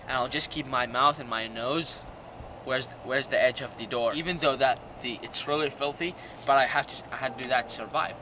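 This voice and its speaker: A man in his late teens from Iraq with a Middle Eastern accent gives personal testimony in halting, non-native English. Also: mid-range voice, noisy background. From Iraq, Jordan and Syria. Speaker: documentary interview subject. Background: music.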